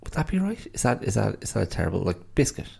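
A man's voice: fairly low pitch, big pitch swings, fast, vocal fry, darkish tone, slightly rough. An Irish man hosting his own podcast, chatting casually and rambling with many self-corrections, tired and a little stuffy-nosed from hay fever. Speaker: podcast host. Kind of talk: casual solo podcast chat.

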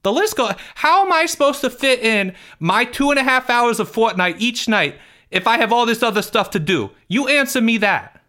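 A man voicing a whiny, self-important young boy who is quick to take offence and sulk. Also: very high voice, animated, sharp tone, voice pushed up, exaggerated voice. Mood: indignant whining.

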